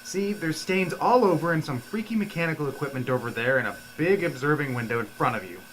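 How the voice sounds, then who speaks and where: A man's voice: on the high side, animated, fast talker, fairly bright tone, slight background noise, rough recording. A man playing a nagging, sarcastic, incredulous sidekick to a reckless companion in a comic radio play. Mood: exasperated.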